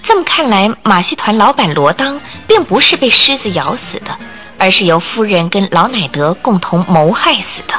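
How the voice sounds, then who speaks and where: A woman's voice: medium pitch, very animated, moderate pace, fairly bright tone, soft background music. A woman narrator telling a scripted radio drama story in a vivid, emphatic way.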